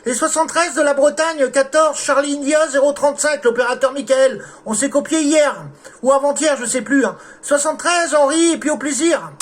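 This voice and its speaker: A man's voice: extremely high pitch, normal speed. A man from France chatting casually as an amateur radio operator, with courteous sign-offs. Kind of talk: casual radio contact chat.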